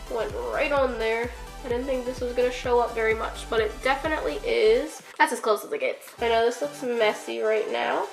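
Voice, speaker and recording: A young woman with a congested, cold-stuffed voice, chatting casually through a makeup tutorial with lots of fillers and the odd curse. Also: fairly high pitch. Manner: chatty, filler-heavy, offhand swearing. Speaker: beauty vlogger.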